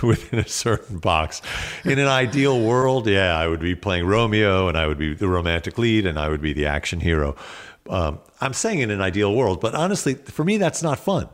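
A man with a low, animated voice speaks as a guest in an interview. He sounds reflective and wry, with a self-deprecating touch, in an easy conversational style. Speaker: guest, actor and standup comedian.

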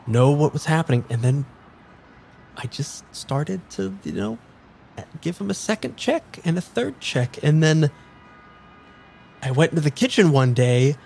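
A man's voice: a little high, gravelly, darkish tone, slight background noise. A man playing a cynical, exasperated young diner server who swears freely and talks bluntly in an improvised audio drama. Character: cynical, foul-mouthed young diner server hustling customers.

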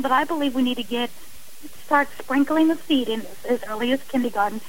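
A woman's voice: high voice, low-quality recording. A middle-aged woman giving earnest, passionate interview answers as a radio guest, speaking in long emphatic appeals. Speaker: radio interview guest, advocate against domestic violence. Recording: hissy radio interview audio.

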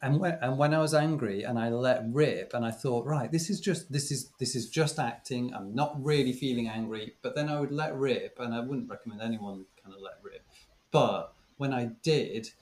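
A man's voice: mid-range voice, moderate pace, darkish tone. A man with an everyday mid-range voice shares teaching memories on a podcast. He talks casually and thoughtfully, restarting sentences and repeating words as he works out what to say. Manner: reflective, many restarts and stammered repeats. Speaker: podcast co-host, former teacher. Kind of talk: casual chat.

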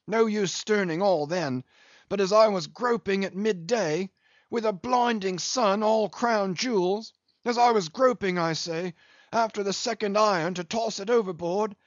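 Cheerful one-armed English captain, higher and livelier, put on by a male reader, dry dull audiobook sound. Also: measured pace, no echo. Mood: hearty. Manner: hearty, lively yarn-spinning.

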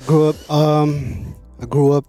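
A man from Korea raised in Los Angeles, telling his story as a guest in a relaxed, repetitive way. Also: a little high, slow, soft background music. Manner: relaxed, repeats words while thinking. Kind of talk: casual interview.

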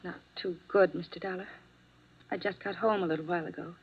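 Weary nurse on muffled old radio. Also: female voice, fairly low pitch, steady tone, fairly quick, breathy edge. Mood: weary, apologetic. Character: weary, apologetic female nurse. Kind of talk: 1950s radio drama acting. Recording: muffled, hissy vintage radio recording.

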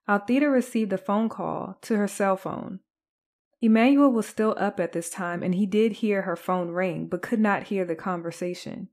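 A woman's voice: medium pitch, a little mellow. A woman podcast host delivering scripted true crime narration.

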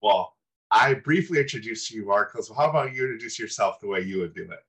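A man's voice: fairly low pitch, expressive, brisk, fairly bright tone. A man hosting a podcast, giving a scripted, earnest introduction of his guest. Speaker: podcast host. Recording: music playing behind.

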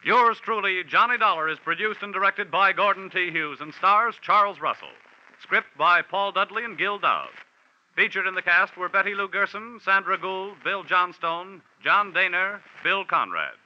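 A man's voice: high voice, lively intonation, moderate pace, sharp tone. A man announcing in a scripted, formal 1940s radio style. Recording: dull 1940s radio recording, music.

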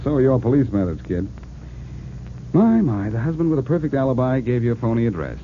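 An actor playing a cocky, wisecracking amateur detective in an old-time radio drama, teasing and brashly confident. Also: male voice, mid-range voice, very animated, fairly quick, natural voice. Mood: mocking. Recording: muffled narrow-band vintage radio recording, hiss.

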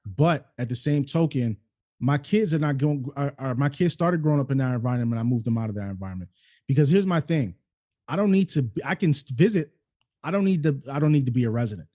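A middle-aged man hosting a casual interview show, speaking quickly and with emphatic, animated energy. His voice is mellow and dark. He leans into points with conviction. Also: mid-range voice. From New York City and Cleveland, Ohio. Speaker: podcast host.

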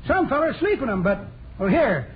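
Very high-voiced, quirky old-timer. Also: male voice, big pitch swings, moderate pace. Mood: folksy, cheerful. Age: older. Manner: folksy, calls younger people daughter and kids. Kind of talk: 1950s radio comedy acting. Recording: muffled vintage radio, noisy live studio audience.

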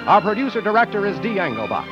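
High-pitched, lively 1940s radio announcer man. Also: quick pace. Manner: polished, formal. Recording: muffled 1940s radio broadcast, faint music.

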